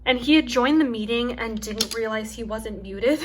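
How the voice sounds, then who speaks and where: Young woman rambling in a calm soft voice, lots of likes and ums, slightly high, faint hiss. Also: normal speed. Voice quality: soft, calming voice. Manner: chatty, rambling, many "like" and "um" fillers. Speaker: craft channel host. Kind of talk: casual chat while chopping wax. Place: home with her dog nearby.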